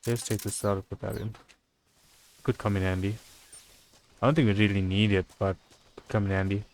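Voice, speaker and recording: A man's voice: lowish voice, flat delivery, fairly quick, a little mellow, soft background music. A man doing live video game commentary as a streamer, casually muttering his thoughts aloud as he plays.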